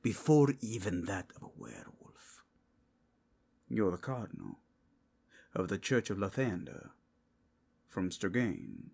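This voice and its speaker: A wry, laid-back werewolf, played by a man in an ASMR roleplay. His low-key voice is gravelly and husky, with casual, lively phrasing and a reluctance to fight. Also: slow pace, pauses often, heavily acted. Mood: wary, deferential.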